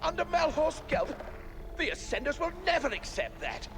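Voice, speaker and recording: A man's voice: extremely high pitch, very animated, normal speed, heavily acted. A man voicing a haughty, indignant corporate board member in a video game cutscene, formal and emphatic. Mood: indignant, pleading.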